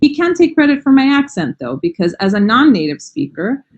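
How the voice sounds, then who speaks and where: A woman's voice: on the high side, sing-song, a little fast, dull audio. An American woman in her forties, a language teacher, chatting enthusiastically in an interview over a video call, with frequent fillers. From United States. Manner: warm, enthusiastic, frequent "um" and "you know". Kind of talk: casual interview chat.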